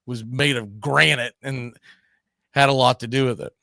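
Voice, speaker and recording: A man's voice: mid-range voice, steady tone, moderate pace, creaky, bright, forceful tone, no echo, clean studio sound. A man hosting a casual baseball talk show, conversational and often checking in with tag questions. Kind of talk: casual sports discussion.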